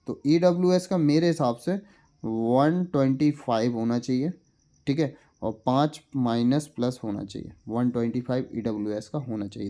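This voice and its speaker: A man's voice: a little high, darkish tone. A man talking as a coaching teacher in an informal explainer, repeating points as questions and answering them with check-in tags. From India. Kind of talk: informal exam analysis explainer.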